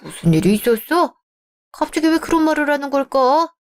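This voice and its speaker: A voice playing a gentle, supportive father. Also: very high voice, big pitch swings, a little fast. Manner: gentle and reassuring. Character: warm, supportive father of the bride. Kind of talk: radio drama dialogue.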